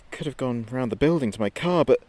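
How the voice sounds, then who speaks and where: An actor playing a frightened late-night radio DJ giving a halting, haunted field report in a horror audio drama. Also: male voice, mid-range voice, expressive, a little mellow, natural voice. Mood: anxious. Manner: halting, hesitant, haunted delivery. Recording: noisy outdoor field recording with ambience.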